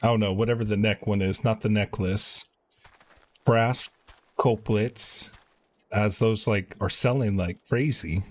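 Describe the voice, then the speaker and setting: A man live-streaming a video game, chatting casually and reading quest text aloud in an informal, halting way. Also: on the low side, steady tone.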